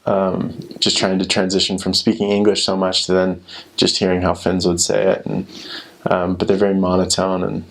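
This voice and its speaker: A man's voice: deep voice, completely flat delivery, moderate pace, creaky, poor audio. A young American man chatting casually and informally about his experiences in a rambling way, full of filler words. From United States. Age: young adult. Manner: rambling, informal, frequent "like" and "you know". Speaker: former missionary sharing experiences. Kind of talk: casual chat.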